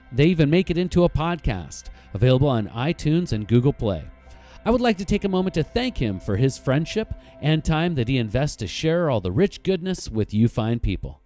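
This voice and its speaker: A man's voice: medium pitch, warm tone. A man hosting a podcast, delivering a casual promotional announcement with guitar music behind him. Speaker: podcast host.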